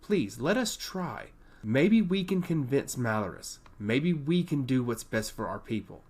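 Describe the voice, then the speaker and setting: A man's voice: medium pitch, very animated, a little slow, a little mellow. Man reading as an eager, earnest prince. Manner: earnest, questioning. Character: eager, bold prince and loyal brother.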